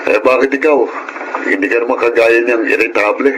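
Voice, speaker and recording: A man's voice: medium pitch, fairly even intonation, a little fast, noisy background, close to an everyday voice. A man with a Filipino accent acting a wry, griping younger man in a radio drama. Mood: wry, exasperated. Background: music.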